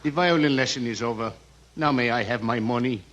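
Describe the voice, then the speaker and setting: A man's voice: medium pitch, fairly quick, fairly bright tone, natural voice. A man playing an exasperated violin teacher in a 1940s radio comedy, pleading and insisting on being paid. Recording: dull, very noisy old radio recording.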